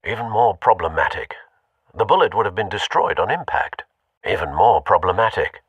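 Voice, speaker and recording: An actor playing a dry-witted, dutiful older butler in a video game, formal and gently sardonic. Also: male voice, on the low side, lively intonation, normal speed, husky, no echo, recorded from a distance, lo-fi sound. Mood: dry, concerned.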